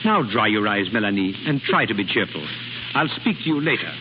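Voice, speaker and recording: An actor playing a suave, coolly practical gentleman who speaks politely and with control, in a 1930s radio drama. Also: on the low side, big pitch swings. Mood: cool, admonishing. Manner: polite, measured, admonishing. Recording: hissy, dull 1930s radio recording.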